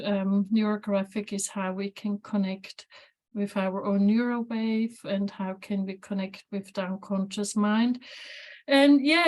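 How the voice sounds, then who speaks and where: Woman, Swiss accent, flat even tone, earnest, laptop webcam at home. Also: on the high side. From Switzerland. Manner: earnest, searching for words, slightly non-native phrasing. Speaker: guest therapist. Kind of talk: video call interview. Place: home room with shelves, indoors. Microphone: laptop webcam on video call.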